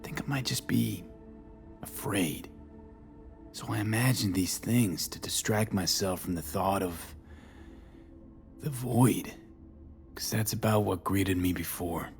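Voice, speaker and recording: An actor playing a brooding, world-weary male street mercenary in a video game, with a gravelly, low-key, terse delivery. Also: slow, long pauses, faint music behind, exaggerated voice. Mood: weary, reflective. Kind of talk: naturalistic video game acting.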